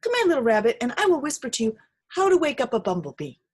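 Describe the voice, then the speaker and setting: A woman's voice: high-pitched, big pitch swings, fast, husky, voice pushed up, full character voice. A woman doing a wise old groundhog. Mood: kindly.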